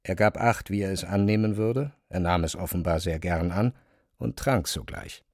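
Guy narrating a novel for a radio play, kinda low voice, dry room. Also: moderate pace, darkish tone, no room sound.

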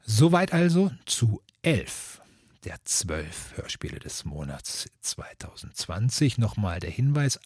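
A man's voice: lowish voice, darkish tone, slightly rough, no echo, studio quality. A man hosting as moderator, delivering a prepared, orderly presentation. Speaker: host, moderator.

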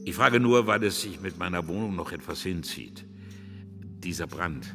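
A deep, creaky-voiced man, formal, fussy and evasive. He hesitates like someone excusing himself in a radio play, with music behind. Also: expressive, moderate pace. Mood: anxious, apologetic. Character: anxious, evasive man fussing over his housing. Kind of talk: German radio drama acting. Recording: reverberant hall with music behind.

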